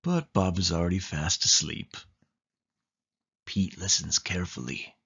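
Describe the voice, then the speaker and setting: A man reads a children's picture book aloud in an animated, expressive storytelling style with deliberate phrasing. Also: sits low, gravelly, slightly rough, lo-fi sound. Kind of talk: animated read-aloud narration for young children.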